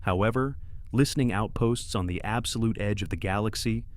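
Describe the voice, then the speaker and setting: A man's voice: lowish voice, brisk, close to an everyday voice. A man playing an official archive narrator, reading scripted sci-fi narration in a formal, grave way. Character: solemn, official male archive narrator of space logs.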